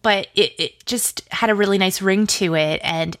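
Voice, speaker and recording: A woman's voice: mid-range voice, normal speed, a bit bright, dry recording, clean studio sound. A woman talking casually as an interview guest, in a chatty, informal and enthusiastic way. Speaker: interview guest, audio drama producer.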